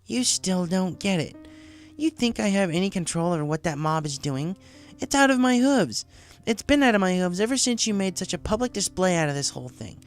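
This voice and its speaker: A man's voice: high voice, animated, fairly quick, soft background music, voice pushed up. A man voicing a brash, defiant young mare pony in an audiobook story, with a blunt and accusing manner. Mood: frustrated.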